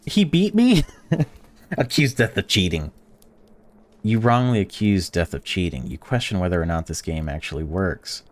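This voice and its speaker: A man hosting a gaming channel, reading a visual novel's text aloud while playing and breaking off into excited or indignant reactions. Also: sits low, normal speed. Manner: reads game text flatly, then blurts excited or indignant reactions.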